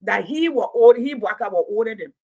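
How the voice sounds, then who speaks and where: Woman near sixty, fairly high voice, fiery commentator who preaches in rhetorical runs. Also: animated, fairly quick, darkish tone, slightly rough. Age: 50s. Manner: preaching, repetitive rhetorical build-ups. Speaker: commentator and Pan-Africanism teacher. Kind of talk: impassioned livestream commentary.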